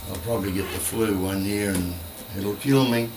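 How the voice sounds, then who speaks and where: An older man with an Australian accent and a croaky voice left over from flu, chatting bluntly and swearing freely in a small home room into a vocal mic on a stand. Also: fairly low pitch, fairly even intonation, normal speed, a little mellow, slight background noise. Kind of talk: casual rambling monologue. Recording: roomy, from a distance.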